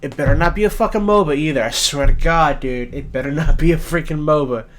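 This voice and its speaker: A young man with a raspy, just-woken-up voice, talking bluntly and swearing as he rants in a casual solo commentary. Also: on the high side, fast talker. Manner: blunt, ranting, swears often. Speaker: gaming commentator.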